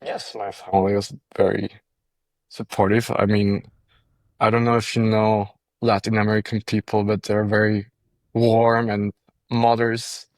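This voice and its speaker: A man's voice: on the low side, level tone, measured pace, darkish tone, no room sound, rough recording. A young man from Costa Rica, a podcast guest, telling his story in casual chat, often repeating words and laughing between thoughts.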